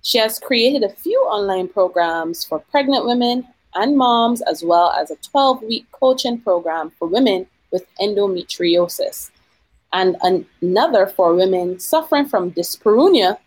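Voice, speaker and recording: A woman's voice: medium pitch, animated, no room sound, rough recording. Formal woman hosting a podcast. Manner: formal, measured delivery. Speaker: podcast host and physician. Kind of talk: scripted podcast introduction and interview.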